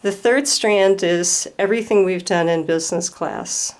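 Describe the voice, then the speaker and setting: A woman at home gently explaining a handmade display to a camera, her voice slightly hoarse from a starting cold. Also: on the low side. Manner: gentle, unhurried, pausing to explain details. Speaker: student presenting a craft project. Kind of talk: slow show-and-tell explanation. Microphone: camera filming a display.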